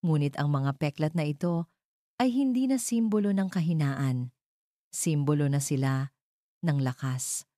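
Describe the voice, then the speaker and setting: A woman reading a dramatic first-person story as a narrator, in a measured, deliberate way. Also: sits low, dark tone, dry recording, studio quality.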